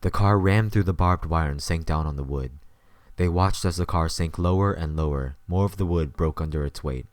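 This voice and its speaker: Casting: male narrator, low register, close dry recording, scripted storytelling. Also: moderate pace, dry recording.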